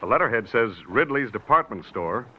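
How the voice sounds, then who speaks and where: An actor playing a hesitant, bewildered, plain-spoken police sergeant in an old radio crime drama, on a muffled recording. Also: male voice, mid-range voice, expressive, normal speed, a little hiss or hum, lightly acted. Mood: puzzled. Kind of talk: 1950s radio drama acting.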